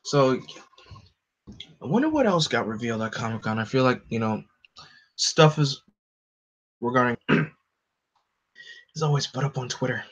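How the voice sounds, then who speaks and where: A young man with a deep voice hosting a casual livestream, rambling with lots of fillers and answering his chat. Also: animated, normal speed, pauses often, breathy edge. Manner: rambling, lots of "like" and "you know". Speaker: livestream host. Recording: dull lo-fi stream audio.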